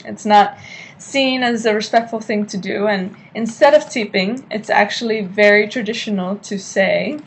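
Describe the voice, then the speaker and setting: A woman's voice: mid-range voice, moderate pace, low-quality recording. Chatty young woman giving a class talk. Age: young adult. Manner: chatty, keeps checking in with "okay?". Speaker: student giving a class speech. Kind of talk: informal informative speech. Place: hotel room at night, lamp-lit. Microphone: laptop webcam at desk.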